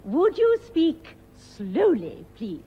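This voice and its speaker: A woman presenting a television English lesson, speaking slowly and patiently with very clear enunciation. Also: high-pitched, wildly swooping pitch, warm tone. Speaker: presenter and teacher.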